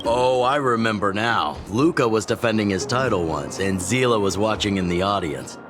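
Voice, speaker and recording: A man's voice: lowish voice, lively intonation, moderate pace, over music, close to an everyday voice. An actor playing a hearty, fatherly, teasing middle-aged chief and mentor in a video game. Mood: amused, fatherly. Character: older male underground chief, genial, teasing mentor. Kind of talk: video game dialogue acting.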